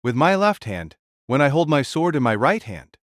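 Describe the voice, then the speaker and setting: A man's voice: mid-range voice, very animated, normal speed, a bit bright, no echo, studio quality. A man playing a fearless, hearty ancient warrior-king in an audio drama, speaking bluntly and grandly. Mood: matter-of-fact boasting.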